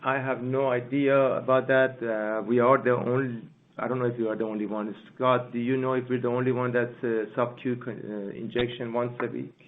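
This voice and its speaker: Male CEO on a conference call, mid-range voice, halting delivery with repeats, thin telephone sound. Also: mid-range voice, flat delivery. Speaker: company chief executive hosting investor call. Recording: muffled narrowband phone line.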